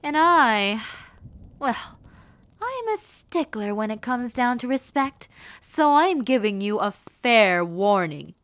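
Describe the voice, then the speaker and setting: A woman playing a haughty, menacing rebel mistress in a fantasy audio roleplay, speaking formally and condescendingly to the listener with theatrical pauses. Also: on the high side, very animated, fairly bright tone, no echo, heavily acted. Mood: stern warning. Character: haughty, menacing villainess, rebel mistress with sweet cruel poise.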